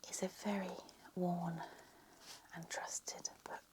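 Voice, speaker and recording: Woman for a whispered ASMR lecture, low, flat, a little croaky, very slow. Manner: slow, soft, unhurried whisper. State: slightly croaky voice.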